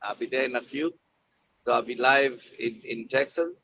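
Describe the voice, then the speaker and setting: A man's voice: medium pitch, moderate pace, gravelly. A man with an East African accent, speaking informally as a coach on a live show. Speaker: coach, co-presenter. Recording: dull lo-fi sound, light background noise.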